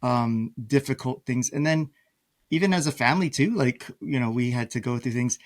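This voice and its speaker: A man's voice: mid-range voice, normal speed. A man hosting a podcast, talking casually and informally in a slightly tentative, conversational way. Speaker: podcast host.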